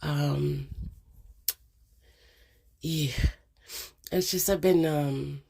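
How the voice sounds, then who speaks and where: A middle-aged woman with a cold and a congested voice, hosting a casual reflective monologue, talking slowly with many hesitations. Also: sits low, steady tone, gravelly, mellow, slightly rough. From Canada. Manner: slow, hesitant, many ums and pauses. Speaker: channel host.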